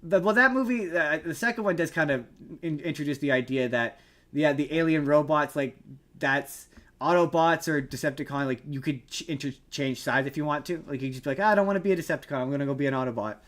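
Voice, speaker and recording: A man with a slightly high voice talks casually about films on a podcast. He is opinionated and emphatic, pressing his points in an argumentative, conversational way. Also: fast, fairly bright tone. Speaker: podcast host. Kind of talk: casual movie discussion.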